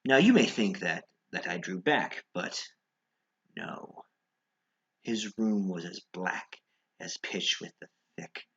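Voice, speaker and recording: A man performing a theatrical horror narration as a nervous, cunning madman insisting he is sane, halting and shifting between hushed and agitated delivery. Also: fairly low pitch, highs cut off. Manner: theatrical, halting, swinging from whispers to outbursts. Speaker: voice performer reading a classic horror tale.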